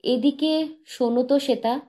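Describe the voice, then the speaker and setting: A woman's voice: high voice, moderate pace, warm tone, pitched above the performer's own voice, lightly acted. A woman voicing a sick, bitter husband in an audiobook, peevish, accusing and commanding. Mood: demanding. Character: sick, bitter, demanding husband, suspicious and resentful. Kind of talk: audiobook character dialogue.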